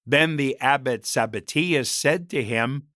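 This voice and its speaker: A man reading a story as a narrator, in a measured and expressive scripted style. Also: medium pitch, dry recording, studio quality.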